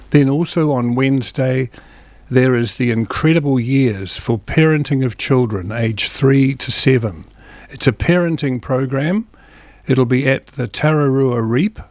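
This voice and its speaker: A man's voice: medium pitch, creaky, a little mellow, no echo. A man with a New Zealand accent hosting a local TV show from a studio. He reads out event dates, venues and phone numbers in a plain, friendly way and adds small asides. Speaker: community TV host. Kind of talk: reading local event listings, casual chat.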